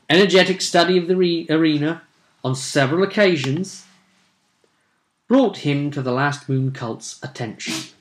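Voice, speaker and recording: A man's voice: mid-range voice, a bit bright. A man recapping a tabletop fantasy game as game master, telling it casually but dramatically with wry asides. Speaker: game master and series presenter.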